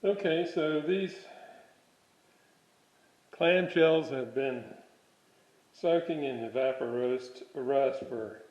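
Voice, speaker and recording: A man giving a casual hands-on workshop demonstration, halting and thinking aloud as he works. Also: medium pitch, a little mellow. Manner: musing aloud, halting, thinking while working. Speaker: hobbyist presenter of a restoration video series. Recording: dull, roomy home workshop recording.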